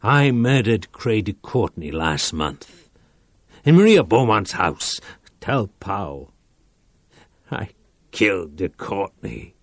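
An actor playing a desperate man sliding into panic and madness, pleading and crying out in a hoarse voice. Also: fairly low pitch, big pitch swings, takes it slowly, long pauses, no echo, exaggerated voice. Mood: grim confession. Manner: pleading, cries out, wavers into sing-song. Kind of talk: radio drama acting.

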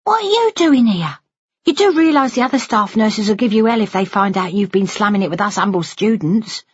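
Woman voicing a cheeky, high-pitched young student nurse. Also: lively intonation, fairly quick, husky, no echo, dull audio. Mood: teasing. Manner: bantering, playful. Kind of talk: dramatised audiobook dialogue.

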